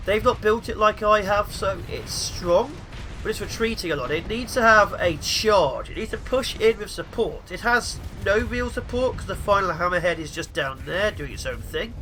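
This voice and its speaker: A young man with the flu, sounding sniffly, giving excitable let's play commentary. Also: high voice, normal speed, slight background noise. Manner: excitable, mutters at enemy ships. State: sniffly with flu, sore throat. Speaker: gaming YouTuber.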